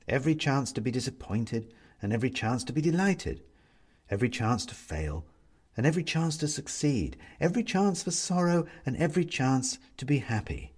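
Deep, husky male storyteller, expressively animated. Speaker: audiobook narrator. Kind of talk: scripted literary story narration.

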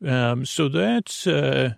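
A man's voice: mid-range voice, animated, extremely slow, gravelly, no echo, studio quality. A man hosting a bedtime podcast in a whimsical, meandering monologue, often hesitating and breaking off mid-thought. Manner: meandering, hesitant, self-interrupting; whimsical, silly. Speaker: podcast host.

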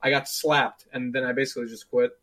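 A man's voice: mid-range voice, flat delivery, fairly quick, fairly bright tone. A man in his twenties chatting casually about gaming, rambling with lots of fillers and restarts. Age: 20s. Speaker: streamer.

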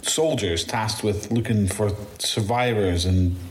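A man playing a shaken, bitter apocalypse survivor in an audio drama monologue, halting, stammering and swearing as he recounts his ordeal. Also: fairly low pitch, a little mellow. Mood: subdued, relieved. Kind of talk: first-person audio drama monologue, confessional. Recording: roomy echo, faint background noise.

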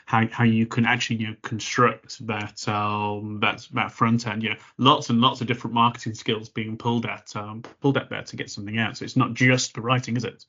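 Brief: male podcast host, lower voice, dull lo-fi sound, loose and rambling. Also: level tone, a little fast, hardly any pauses, pushed and bright. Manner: rambling, repeats words, many fillers. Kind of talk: conversational podcast interview.

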